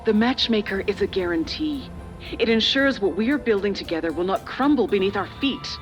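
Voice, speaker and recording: A measured middle-aged official played by a woman, persuasive and faintly patronizing, speaks as a calculating authority in a game drama. Music plays behind the voice. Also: medium pitch, expressive, natural voice. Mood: persuasive, insistent. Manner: measured, persuasive, slightly condescending. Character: older female corporate official and parent, calculating, persuasive, patronizing. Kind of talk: video game dramatic voice acting.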